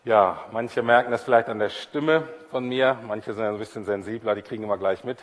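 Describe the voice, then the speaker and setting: A man preaching in church, his voice a little hoarse from a cold, talking in a relaxed way with many fillers. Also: fairly low pitch, a little fast, long reverb tail. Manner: informal, self-deprecating, with many fillers. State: has a cold, voice a bit husky. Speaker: pastor. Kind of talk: prayer and sermon.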